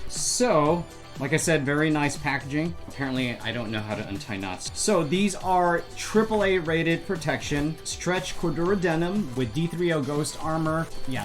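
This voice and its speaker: A fairly high-voiced man chats his way through an unboxing, thinking aloud and tossing in quick asides. Faint music plays underneath his talk. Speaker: motorcycle vlogger. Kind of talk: casual product unboxing. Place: indoor bedroom.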